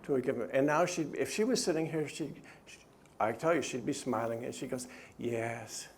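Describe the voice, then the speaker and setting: A man's voice: mid-range voice, normal speed, a little hiss or hum. A middle-aged man, the author, gives an earnest talk at a church and answers questions, often stopping and restarting. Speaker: author and speaker.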